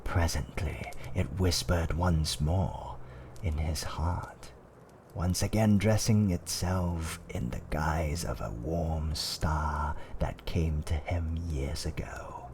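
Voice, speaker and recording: A man playing a fantasy tavern owner, telling dark fireside tales in a hushed, dramatic roleplay ASMR style that often drops toward a whisper. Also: a bass voice, slow, background noise, full character voice. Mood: ominous, hushed. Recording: faint background ambience.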